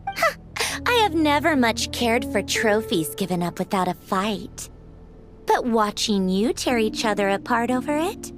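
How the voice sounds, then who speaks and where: A sardonic female game villain. She drawls slowly and theatrically, swinging widely in pitch, mocking and bored. Music plays clearly behind her. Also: animated. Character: mocking, bored villainous female Harbinger who tinkers with experiments. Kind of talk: video game voice acting. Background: background music.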